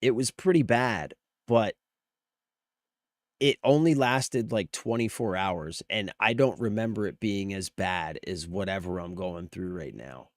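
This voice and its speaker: Male livestream host, informal commentary, lower register, under the weather with a strained voice, dry recording. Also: a little slow, dry recording. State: sick, voice strained from near loss. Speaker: live streamer host. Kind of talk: casual livestream commentary on gaming news.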